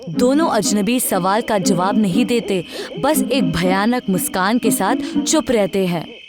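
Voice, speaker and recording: A woman's voice: medium pitch, animated, unhurried, crowd noise. A woman narrating a scripted horror story. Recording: music under the voice.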